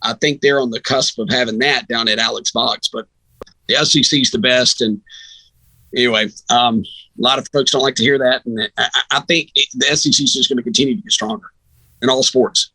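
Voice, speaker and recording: A man's voice: mid-range voice, fairly even intonation, fairly quick, bright, forceful tone, husky, dry recording, poor audio. A man talking sports casually as a podcast guest, opinionated and emphatic, often rambling into asides. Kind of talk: sports talk, casual conversation.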